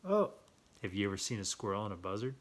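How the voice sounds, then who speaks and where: A man reading a children's story aloud with playful, drawn-out emphasis and chatty asides. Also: lowish voice, sing-song. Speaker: children's story reader and host.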